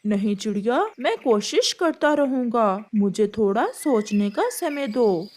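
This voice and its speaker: A woman voicing a kindly, patient, clever pigeon in a cartoon, gentle and reassuring. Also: fairly high pitch, animated, measured pace. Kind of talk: Hindi cartoon voice acting.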